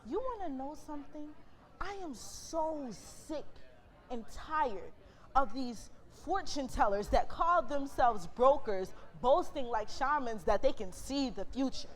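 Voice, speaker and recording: A woman's voice: sits high, expressive, measured pace. A woman in a staged reading playing an outspoken, principled factory manager with a blunt, exasperated way of talking.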